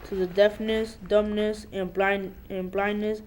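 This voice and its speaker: A woman's voice: mid-range voice, level tone, measured pace, darkish tone, a little hiss or hum. A young teenage girl, a middle school student, performing a dramatic reading aloud. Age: young teen, middle school student. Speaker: student reader.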